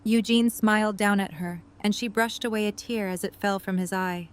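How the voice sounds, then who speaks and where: A woman's voice: medium pitch, steady tone, normal speed, clean tone, close to an everyday voice. A woman reading scripted story narration for a fan audio drama.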